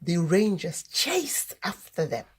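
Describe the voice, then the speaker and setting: A woman reading a children's story aloud as host, in deliberate, expressive narration. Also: fairly low pitch, slight background noise.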